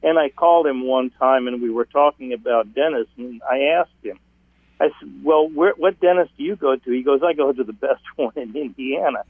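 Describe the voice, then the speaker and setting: A man whose first language is Italian, a guest on a radio show, telling his story in a halting, amused way. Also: medium pitch, husky, no room sound. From United States. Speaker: guest, dental patient. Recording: tinny phone-like line.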